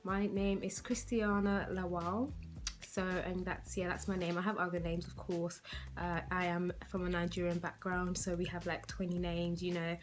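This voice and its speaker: A woman's voice: fairly low pitch, level tone, normal speed, soft background music. A woman in her twenties from London, with a London accent, chatting casually to her viewers in a rambling way, full of "like" and "you know". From London, England. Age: 20s. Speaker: beauty vlogger.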